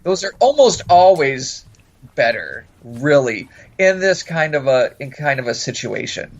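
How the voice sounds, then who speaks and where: A man's voice: on the high side, big pitch swings, a little slow. A man co-hosting a podcast in a casual review chat, conversational and a little tentative, repeating his phrases. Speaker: podcast co-host. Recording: dull, lo-fi audio.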